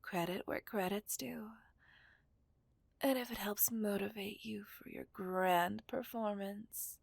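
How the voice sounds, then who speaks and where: A seductive, possessive villainess played by a woman whispers in a breathy voice with a gravelly edge. She is teasing and dominant, drawing her words out slowly as if toying with a captive in an ASMR roleplay. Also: medium pitch, fairly even intonation, no room sound. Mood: teasing, smug. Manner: teasing, dominant, drawn-out delivery. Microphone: close microphone, dry sound.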